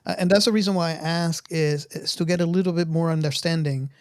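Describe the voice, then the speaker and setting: A man's voice: a little high, steady tone, a little fast, clean tone, no room sound, studio quality. A man hosting a casual podcast discussion, putting questions to the panel. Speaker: podcast host.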